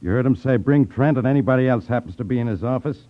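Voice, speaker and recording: A man's voice: medium pitch, fast talker, close to an everyday voice. An actor playing a blunt, menacing gangster henchman with curt tough-guy talk in a 1950s radio crime drama. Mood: bossy, menacing. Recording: tinny, narrow 1950s radio recording.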